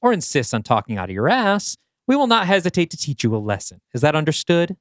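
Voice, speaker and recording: Man putting on a smug, menacing raider boss, pitched up. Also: wildly swooping pitch, quick pace, no echo, clean studio sound. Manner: threatening, sneering. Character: smug, menacing male leader of armed raiders.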